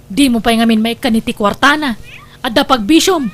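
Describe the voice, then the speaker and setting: A struggling Filipino wife and mother acted by a woman in a radio drama, her voice very high. She is exasperated and resentful, complaining about the hardship at home. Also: normal speed, close to an everyday voice. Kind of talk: Ilocano radio drama acting.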